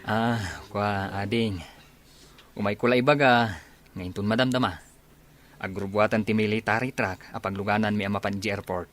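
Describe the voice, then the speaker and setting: An actor playing a young soldier in a radio drama, talking in a courteous, familiar way. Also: male voice, lowish voice, steady tone, normal speed, fairly bright tone, close to an everyday voice. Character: courteous young male soldier about to deploy.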